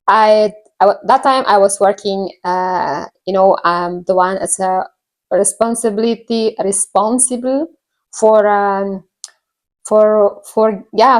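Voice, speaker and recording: A woman with an Indonesian accent speaks in a medium-pitched voice. She talks casually to her viewers as a vlogger sharing a personal story. Her phrasing is halting, as she searches for words and repeats phrases. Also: darkish tone.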